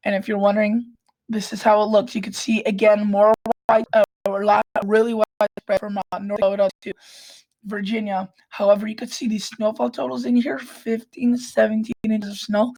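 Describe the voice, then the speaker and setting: Hoarse, raspy woman with a cold; level, steady weather explainer, clears her throat often. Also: mid-range voice, rough recording. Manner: frequent restarts and throat clearing. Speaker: weather vlogger. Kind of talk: casual weather forecast walkthrough.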